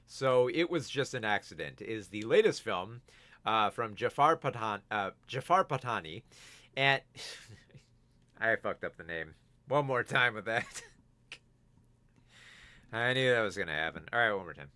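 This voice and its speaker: A man's voice: on the low side, animated, unhurried, long pauses, sharp tone, no room sound. A man hosting a casual film review show, rambling with many restarts while he is losing his voice. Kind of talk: casual video review, reading viewer comments.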